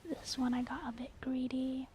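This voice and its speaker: A woman whispering soft-spoken ASMR commentary over a video game as the host. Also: high-pitched, fairly even intonation, normal speed, husky.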